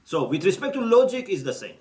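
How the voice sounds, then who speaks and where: A man's voice: sits high, very animated, darkish tone. Male lecturer teaching carefully, keeps asking okay?, sounds far off and roomy. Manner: deliberate, explains step by step, checks in with "okay?". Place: lecture room. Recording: roomy echo, mic at a distance.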